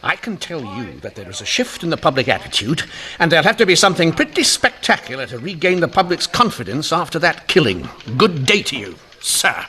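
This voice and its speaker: An actor playing a gruff, impatient police inspector in an old-time radio mystery, blustering and commanding. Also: male voice, on the high side, sing-song, a little fast. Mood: stern.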